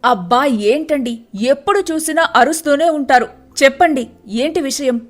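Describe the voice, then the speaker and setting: A woman's voice: high-pitched, moderate pace, faint music behind, lightly acted. A woman voicing a timid, put-upon wife in a story, with a wary, complaining manner. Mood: exasperated.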